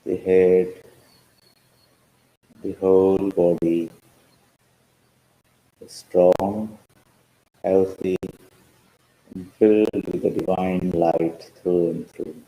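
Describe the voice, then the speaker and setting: A man leading a guided meditation as a teacher, speaking calmly in measured short phrases with pauses. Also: sits low. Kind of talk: slow guided meditation instruction. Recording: roomy, echoing sound.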